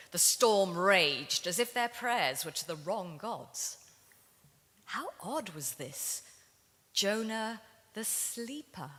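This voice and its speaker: A woman's voice: fairly low pitch, pushed and bright. A woman telling a story as dramatic spoken word in a live staged reading. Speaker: reader in a staged ensemble performance. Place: large synagogue hall, live performance. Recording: reverberant hall.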